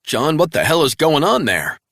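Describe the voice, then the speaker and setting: A man voicing an urgent male handler on the phone, brisk and clipped. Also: a little high, wildly swooping pitch, a bit bright, no echo, clean studio sound, voice pushed up, full character voice.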